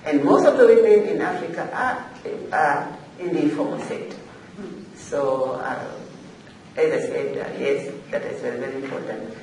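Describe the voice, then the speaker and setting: A Tanzanian woman speaks at a forum, some way from the microphone in a very reverberant room with faint noise. She talks semi-formally and without a script, pausing and repeating herself to drive points home. Her delivery is halting but emphatic. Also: sits low, a little mellow. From Zanzibar, Tanzania. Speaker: forum panelist, African regional official. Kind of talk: semi-formal extemporaneous panel remarks. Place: echoey indoor forum room. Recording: echoey room, distant mic, faint noise.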